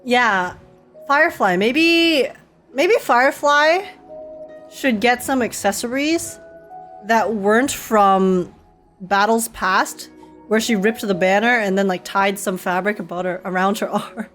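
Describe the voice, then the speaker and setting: A woman streamer giving a casual live reaction to a game story, expressive and slangy, often repeating phrases for emphasis. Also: mid-range voice, slow. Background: game music and dialogue.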